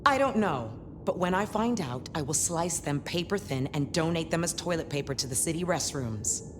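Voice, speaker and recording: A woman's voice: low voice, expressive, normal speed. A woman voicing a tough, protective vampire in a video game, curt, hard and threatening. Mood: protective, menacing. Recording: clear ambient noise behind the voice.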